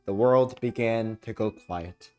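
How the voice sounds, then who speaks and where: Male reaction host, low register, casual asides between caption reading, music underneath. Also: takes it slowly, warm tone. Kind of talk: reading on-screen captions aloud with casual commentary.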